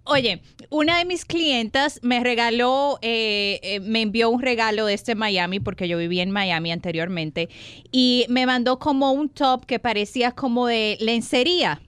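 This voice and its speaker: A woman who is a guest personal trainer on a radio show, telling a story in an animated way and laughing as she goes. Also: fairly high pitch, measured pace, smooth, no echo.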